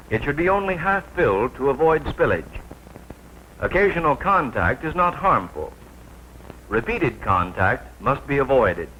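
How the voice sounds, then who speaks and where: An American man narrating a 1940s military training film from a script, formal and precise, with clearly enunciated announcer delivery. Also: medium pitch, sharp tone. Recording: noisy 1940s film soundtrack.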